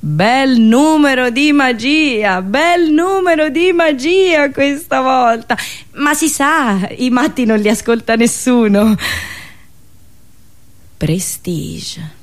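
A woman radio host delivering a theatrical, satirical monologue with ironic, deliberate phrasing and repetitions. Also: high voice, lively intonation.

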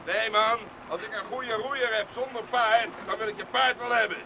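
An actor playing a gruff, decisive lifeboat skipper who barks blunt orders in a radio play. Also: male voice, sits high, fairly even intonation, moderate pace, natural voice. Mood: blunt banter. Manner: commanding, blunt, barking orders. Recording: noisy outdoor storm sound effects.

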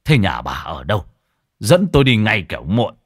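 A man voicing a stern old Buddhist monk who speaks in a solemn, deliberate way in a spoken ghost story. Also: lowish voice, sing-song, husky, no echo, clean studio sound. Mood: alarmed.

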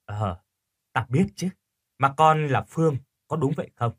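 A man voicing a kindly, dignified sixty-year-old village healer in an audio story, with an unhurried, fatherly manner. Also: mid-range voice, expressive, fairly bright tone. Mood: calm, knowing.

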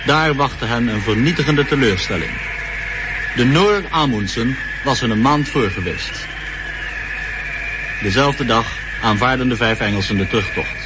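A man's voice: medium pitch, measured pace, pauses often, close to an everyday voice. An actor as the sober narrator of a historical radio drama, grave and factual in his scripted account. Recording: noisy old radio recording.

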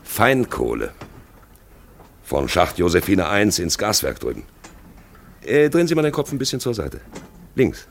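A man's voice: low voice, very animated, measured pace, gravelly, pauses often, slight background noise, exaggerated voice. A man playing an eloquent narrator in a 1950s German radio play, addressing the listener directly in a dramatic, rhetorical way. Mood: urgent, pointing out. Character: eloquent male narrator guiding the listener through a drab town.

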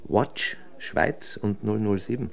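An actor playing a pedantic, ironic criminal investigator in a German radio drama, lecturing with smug pomposity. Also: male voice, fairly low pitch, slow pace, vocal fry. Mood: smug, explaining. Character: wry, pedantic male criminal investigator, smugly theorizing.

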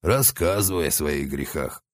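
A man's voice: rumbling low, measured pace, dry recording. A man voicing the stern, commanding central head of a three-headed hellhound.

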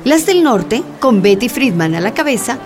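A woman's voice: fairly high pitch, very animated, moderate pace. A woman narrator reading scripted radio drama narration. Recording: music behind voice.

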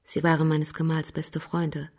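Restrained, husky-voiced noble widow. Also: female voice, low voice, monotone, moderate pace, a little mellow. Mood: solemn, deferential. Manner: formal, deferential, composed. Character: reserved, genteel widow of high standing. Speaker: actress playing a widow. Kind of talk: German radio crime drama acting.

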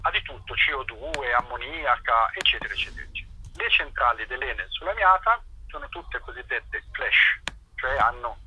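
A man being interviewed as an activist, explaining informally and haltingly, searching for his words. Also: medium pitch, lively intonation, bright, forceful tone, slight background noise, poor audio.

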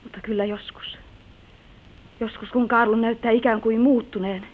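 An actress playing an unhappy young wife, torn and weary of poverty, in a plaintive, theatrical old radio drama style. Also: female voice, fairly high pitch, steady tone, close to an everyday voice. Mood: wistful, hesitant. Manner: plaintive, slow theatrical delivery. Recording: old muffled narrow-band radio recording, hissy.

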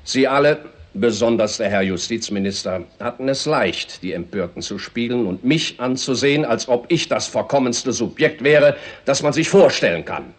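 An actor playing a blunt, ruthless finance minister in a 1960s radio drama, speaking in sarcastic, emphatic oratory. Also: male voice, mid-range voice, lively intonation, normal speed, close to an everyday voice. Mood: indignant lecturing.

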